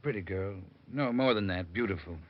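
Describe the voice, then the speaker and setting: An actor playing an uneasy married movie producer who talks in short, halting phrases in a vintage radio drama. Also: male voice, deep voice, muffled recording, natural voice. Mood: uneasy, confessing. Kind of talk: 1940s-50s radio drama acting.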